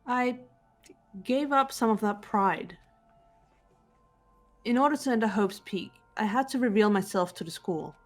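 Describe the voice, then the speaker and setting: A woman voicing a composed, guarded teenage girl detective in an amateur game dub, measured and restrained. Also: medium pitch, faint music behind, close to an everyday voice. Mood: quietly solemn. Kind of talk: amateur voice acting in a visual novel playthrough.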